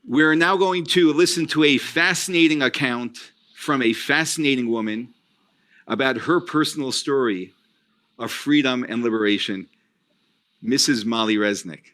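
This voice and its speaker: A man's voice: mid-range voice, normal speed, fairly bright tone. A man hosting a panel discussion, reflective and affirming. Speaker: host.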